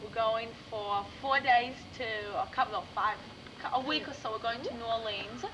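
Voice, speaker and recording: A woman's voice: fairly high pitch, normal speed, lo-fi sound. A young woman with an Israeli accent touched by New York pronunciations, chatting casually to a home video camera. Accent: Israeli with some New York pronunciations. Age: young adult. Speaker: summer camp outdoor cooking counselor. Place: open wooden shelter in woods. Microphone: camcorder mic a few meters away. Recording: noisy outdoor camcorder audio.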